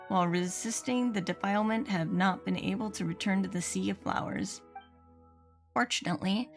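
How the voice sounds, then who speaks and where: Woman livestreaming a game over music. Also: medium pitch. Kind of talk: casual game stream chat, reading in-game dialogue aloud. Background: video game music.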